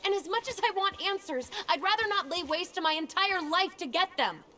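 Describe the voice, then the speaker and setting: An actress playing a loyal, exasperated young American sister in naturalistic video game dialogue. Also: female voice, extremely high pitch, lively intonation, fairly quick, sharp tone, slight background noise, exaggerated voice. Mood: upset, defensive. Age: young adult.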